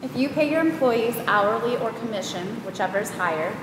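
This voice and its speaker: A woman's voice: fairly high pitch, animated, fairly quick. A woman reading audience questions aloud to a show's host. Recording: echoey hall, clear background noise.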